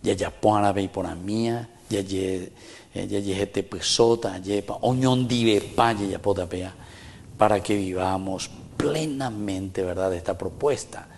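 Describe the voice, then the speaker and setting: A man's voice: lowish voice, measured pace, gravelly, darkish tone, slight background noise. A middle-aged man with a Paraguayan Spanish accent, preaching a homily at Mass in earnest, repeating phrases and asking rhetorical questions. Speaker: priest. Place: small chapel with wooden walls, indoors.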